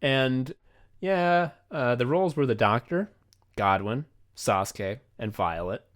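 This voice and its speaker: A man's voice: medium pitch, lively intonation, takes it slowly. A man hosting a podcast in casual chat, swearing freely and joking at his own expense. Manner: profane, joking and self-deprecating. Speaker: podcast host and comedy writer.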